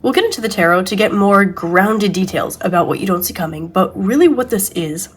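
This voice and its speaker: Woman w a stuffy nose doing a tarot reading, slow soft talk, lots of pauses. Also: medium pitch, expressive, slightly rough. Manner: slow, soft, searching delivery with pauses and restarts. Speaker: tarot reader. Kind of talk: intuitive tarot reading, channeling a message.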